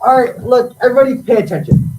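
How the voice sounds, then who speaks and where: A woman's voice: a little high, fast talker, noisy background, close to an everyday voice. Woman as a blustering colonel, far from mic. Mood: exasperated. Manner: commanding, argumentative. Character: blustering, impatient male colonel in a murder mystery farce. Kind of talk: theatrical comic mystery acting. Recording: distant microphone pickup.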